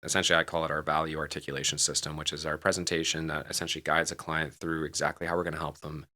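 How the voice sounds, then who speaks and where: A man in his forties with a low but bright, forceful voice. He talks quickly in an informal, conversational interview style, often repeating phrases for emphasis. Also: little pitch movement. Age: 40s. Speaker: podcast guest, real estate team leader.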